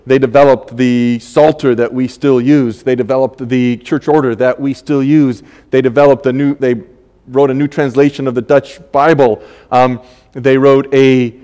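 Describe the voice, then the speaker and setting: An older man preaching a sermon as pastor, conversational and halting, with many fillers and restarts. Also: medium pitch, dark tone, in a large hall.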